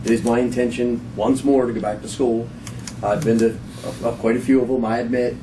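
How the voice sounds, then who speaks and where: A man's voice: medium pitch, flat delivery, a little fast. A man in his forties, a police official, speaking plainly and earnestly to a public meeting. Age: 40s. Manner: earnest, plainspoken, addresses the room. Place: council chamber. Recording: echoey room, distant mic, noisy.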